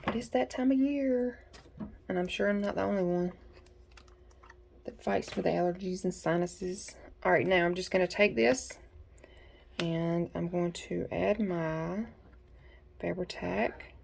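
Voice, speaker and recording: A woman with a gravelly, creaky edge to her voice talks viewers through a craft project at her home desk. She sounds a little congested from allergies, and keeps up an easygoing, folksy patter as she works. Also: lowish voice, unhurried, long pauses, darkish tone, slight background noise. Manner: chatty, folksy, talks to viewers while working. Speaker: craft tutorial host. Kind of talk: casual step-by-step craft tutorial.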